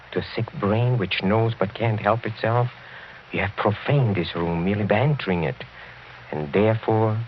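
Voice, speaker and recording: Man playing a low-voiced, sinister courtly count. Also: close to an everyday voice. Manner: suave, chuckling, deliberate menace with courteous hesitations. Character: sinister, courtly older count from a decadent noble family. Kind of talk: radio horror drama acting. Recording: dull, noisy old radio recording.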